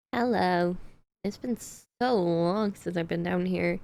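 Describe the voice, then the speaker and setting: A woman's voice: fairly low pitch, slow pace, gravelly, a little mellow. A woman streamer chatting casually to herself while she plays a game. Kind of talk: casual gameplay commentary.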